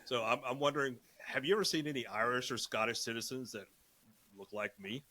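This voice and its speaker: A man's voice: mid-range voice, a little fast, sharp tone. A man hosting a podcast, asking his guest questions in a conversational way. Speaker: podcast host. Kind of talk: podcast interview.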